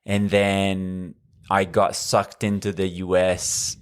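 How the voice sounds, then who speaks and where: Deep-voiced Italian man, smooth and measured. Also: monotone. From Northern Italy. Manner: measured, with frequent fillers and restarts. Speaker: podcast guest. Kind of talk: conversational interview.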